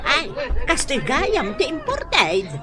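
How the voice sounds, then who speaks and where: A woman's voice: high-pitched, huge pitch swings, takes it slowly, full character voice. A woman playing a nosy, teasing older neighbour in an Ilocano radio drama comedy, drawling her questions.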